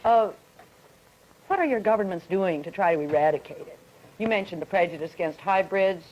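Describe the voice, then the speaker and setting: A middle-aged woman moderating a panel discussion, steering it with brisk, curious follow-up questions. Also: fairly low pitch, animated, darkish tone, lo-fi sound, a little hiss or hum.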